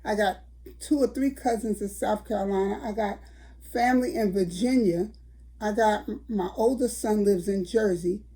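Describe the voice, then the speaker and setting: A woman's voice: medium pitch, normal speed, warm tone. An older woman, telling a story to her viewers in a chatty vlog. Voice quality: raspy. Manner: chatty, addresses viewers as y'all. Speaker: vlogger.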